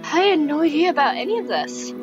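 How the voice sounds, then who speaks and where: A woman's voice: sits high, expressive, fast talker, dull audio, over music, pitched above the performer's own voice. A woman voicing an anxious, defensive young female cat in a video game, pleading and worried. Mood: hurt, defensive. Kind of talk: reading game dialogue aloud in character.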